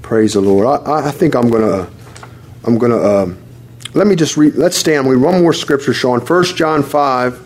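A man preaching to a congregation and praying aloud fervently, calling on the Lord again and again and reading scripture slowly. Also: medium pitch, darkish tone, slight background noise. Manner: fervent, repeats "Lord" often; reads scripture slowly and deliberately. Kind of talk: sermon and spoken prayer. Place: church service with congregation responding.

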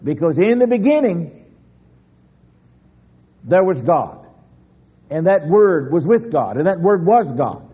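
A man preaching a sermon slowly and emphatically, with dramatic pauses and sudden rises in pitch. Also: very animated, slightly rough. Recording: dull lo-fi recording.

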